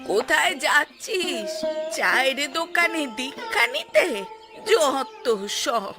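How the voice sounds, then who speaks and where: Woman as a high-voiced, nagging village mother. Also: sing-song, takes it slowly, music in the background, exaggerated voice. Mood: exasperated, sarcastic. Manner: nagging, sarcastic. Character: exasperated ageing village mother scolding her idle son. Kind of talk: cartoon voice acting.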